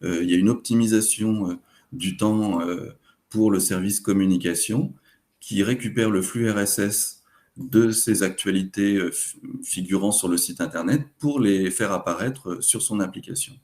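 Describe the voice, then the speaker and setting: Low-voiced man presenting a webinar. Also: a little mellow. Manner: hesitant, explanatory, halting phrasing.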